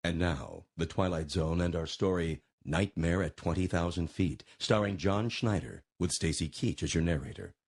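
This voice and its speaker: A man's voice: sits low, normal speed, hardly any pauses. A man giving a scripted programme announcement as a radio drama announcer. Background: background music.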